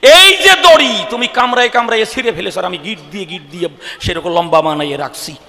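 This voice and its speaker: A man voicing a feisty, indignant old village woman who scolds in a rustic, drawn-out way, in a comic stage story. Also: sits high, sharp tone, voice pushed up. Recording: echoey hall sound system.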